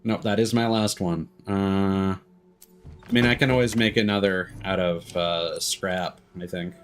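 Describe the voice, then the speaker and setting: A man's voice: low voice, fairly even intonation, a little slow, faint music behind. A man giving casual, opinionated, sweary commentary as he streams a game live.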